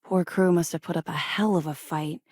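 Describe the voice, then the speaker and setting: An actress playing a frank, dutiful military officer in a video game, mixing crisp formal address with wry, candid informality. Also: female voice, on the low side, steady tone, moderate pace, a little mellow, dry recording, clean studio sound. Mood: businesslike, confident. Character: frank, dutiful military officer aboard a space patrol ship.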